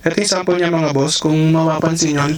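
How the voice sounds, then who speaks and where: A man's voice: on the high side, steady tone, quick pace, noisy background. A man casually unboxing and reviewing a budget helmet intercom, talking straight to his viewers and calling them bosses. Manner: chatty, addresses viewers as bosses. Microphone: camera mic, sometimes through intercom earpiece.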